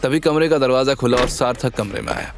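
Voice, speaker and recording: A man's voice: mid-range voice, brisk, faint music behind. A man narrating a scripted story in a dramatic, suspenseful storytelling manner.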